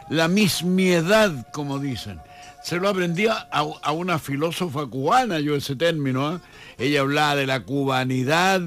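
A Chilean man, a historian guest on a radio interview, speaking thoughtfully with frequent fillers and restarts. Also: fairly high pitch.

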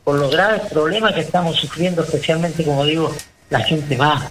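A man's voice: fairly high pitch, normal speed, a bit bright, slight background noise. A man with a Chilean accent co-hosting a radio talk show, giving long opinionated commentary with many restarts. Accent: Chilean Spanish. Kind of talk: casual political talk on radio.

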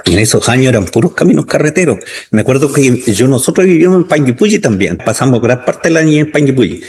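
A man in his sixties from southern Chile with a Chilean Spanish accent, a writer telling childhood stories in a relaxed radio interview. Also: medium pitch, fast talker, a little hiss or hum. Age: 60s. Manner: anecdotal, reminiscing. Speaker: writer guest. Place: radio studio.

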